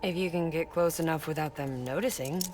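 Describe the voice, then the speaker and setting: A woman playing an earnest, candid engineer in a video game cutscene, speaking in measured phrases with tenderness and quiet sorrow. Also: low voice, faint music behind, natural voice. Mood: matter-of-fact. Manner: measured, explanatory, short phrases with pauses.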